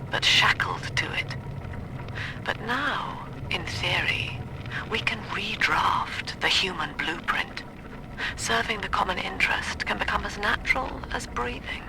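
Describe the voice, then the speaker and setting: An actress playing a cerebral utopian cult leader, delivering measured, lofty philosophy in a clinical, solemn way for a game audio log. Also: female voice, on the low side, vocal fry, full character voice. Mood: lofty, resolute. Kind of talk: philosophical audio-diary monologue. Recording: noisy, hissy vintage audio log.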